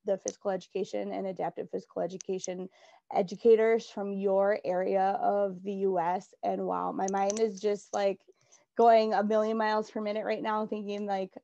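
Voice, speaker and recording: A woman's voice: mid-range voice, vocal fry, dark tone, lo-fi sound, slight background noise. Upbeat woman with a Minnesota accent. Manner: upbeat, earnest, chatty with fillers. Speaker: adapted physical education teacher presenting a workshop. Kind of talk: virtual conference presentation.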